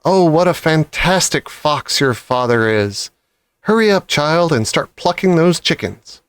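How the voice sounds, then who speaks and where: A man voicing a weary, loving mother fox in a children's storybook, gentle and full of wonder. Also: on the high side, animated, clear, resonant tone, dry recording. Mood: delighted. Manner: murmuring, slow, exclaiming.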